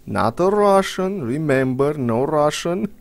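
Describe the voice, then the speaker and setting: A man's voice: on the high side, lively intonation, takes it slowly, gravelly. Romanian-accented young man, sarcastic gaming chatter. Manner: rambling, blunt and sarcastic, swears casually. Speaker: gaming commentator. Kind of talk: casual gameplay commentary.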